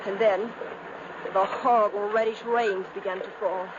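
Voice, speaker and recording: An actress playing an anguished, fearful gentlewoman in a 1950s radio drama, earnest and imploring. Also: mid-range voice, fairly even intonation, moderate pace, lightly acted. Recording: muffled, noisy 1950s radio recording.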